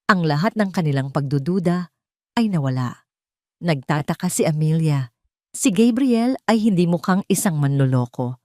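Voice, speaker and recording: A woman's voice: low voice, expressive, moderate pace, warm tone, dry recording, studio quality. A woman reading a scripted dramatic story as its narrator.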